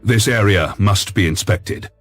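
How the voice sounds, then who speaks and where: An actor playing a grave senior lord admiral of a space navy, giving a formal, measured briefing in a game cutscene. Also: male voice, lowish voice, fairly bright tone, faint music behind. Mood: grave, commanding. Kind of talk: video game cutscene acting.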